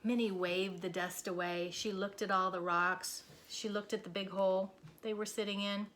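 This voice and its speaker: A woman reading a children's story aloud with expressive, dramatic and deliberate phrasing. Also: medium pitch, slight background noise.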